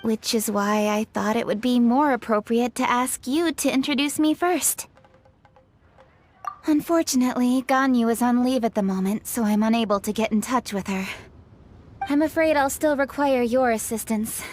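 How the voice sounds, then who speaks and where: A woman's voice: on the high side, a little mellow, slight background noise, lightly acted. Polite, dutiful young woman played by an actress. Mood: polite, concerned. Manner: formal, courteous, measured. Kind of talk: scripted video game dialogue.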